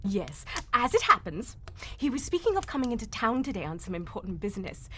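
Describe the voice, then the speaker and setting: A woman's voice: mid-range voice, sing-song, fairly quick, pushed and bright. A woman playing a frightened young client in a live 1940s-style radio mystery, speaking in a hesitant, melodramatic way. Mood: earnest. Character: Miss Stoner, frightened young woman client seeking help. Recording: faint background noise, live performance.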